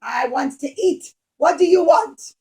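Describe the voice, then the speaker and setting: A woman voicing a rude, greedy young hunter in a puppet show, exclaiming loudly with whiny impatience. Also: high voice, a little slow, voice pushed up. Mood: careless, eager.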